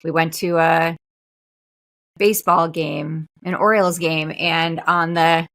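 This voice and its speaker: A woman's voice: deep voice, steady tone, slow, a bit bright. Chatty woman in her forties. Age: 40s. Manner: chatty storyteller, laughs often. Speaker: podcast co-host, mother. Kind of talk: casual chat.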